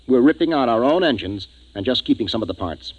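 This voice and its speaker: A man's voice: medium pitch, animated, quick pace, darkish tone, slightly rough. An actor playing a grave, decisive male spaceship captain who narrates his tale in a 1950s radio drama. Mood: pleased, brisk. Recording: dull 1950s radio recording, music behind.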